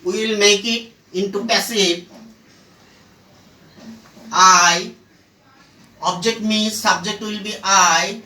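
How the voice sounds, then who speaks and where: Casting: grammar teacher, extremely slow and halting delivery, bright forceful voice, echoey room recording. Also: mid-range voice, steady tone. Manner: halting, short phrases, asks and answers own questions. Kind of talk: step-by-step grammar lesson. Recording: echoey room, far from the mic.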